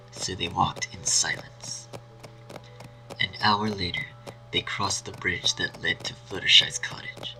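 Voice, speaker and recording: A man narrating a scripted dark fan story in a dramatic storytelling style. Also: low voice, poor audio. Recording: faint music behind.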